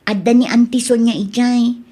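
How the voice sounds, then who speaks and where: A woman's voice: fairly high pitch, steady tone, normal speed, dark tone, husky. A woman acting an earnest, protective adult daughter in an Ilocano-accented radio drama. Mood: worried scolding. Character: protective, indignant adult daughter fretting over her father.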